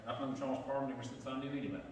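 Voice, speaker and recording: A middle-aged man leading prayer as pastor, plain-spoken with some halting fillers, heard from a pulpit mic in a large church sanctuary. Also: mid-range voice, steady tone, a little mellow, a little hiss or hum. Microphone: pulpit mic, camera far back. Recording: echoey sanctuary from far off.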